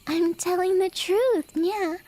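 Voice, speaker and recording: A woman's voice: extremely high pitch, warm tone. A woman playing a playful, teasing, clingy cat beastkin in a flirty ASMR roleplay, with a coy manner. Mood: nervous placating. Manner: coy, teasing, with drawn-out breathy whispers.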